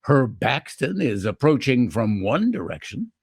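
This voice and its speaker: A man's voice: medium pitch, big pitch swings, measured pace, gravelly, breathy edge, no echo, clean studio sound, full character voice. A man narrating as the announcer of a re-created 1940s radio comedy in a scripted, old-time style.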